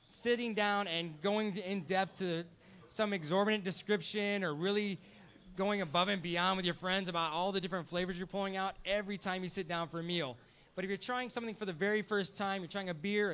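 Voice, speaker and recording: A man's voice: high-pitched, a bit bright. A man from Southern California hosting a live beer and cheese pairing, explaining tastes in a friendly, casual way. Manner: friendly, explanatory, some restarts. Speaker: host. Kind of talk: casual live tasting talk.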